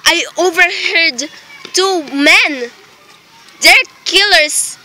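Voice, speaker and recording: A woman's voice: high-pitched, sharp tone, slight background noise, exaggerated voice. A woman playing an anxious, imperious, exasperated wife in an amateur radio play, speaking in a melodramatic, insistent way. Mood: indignant, exasperated. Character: anxious, imperious, exasperated invalid wife reporting a murder plot.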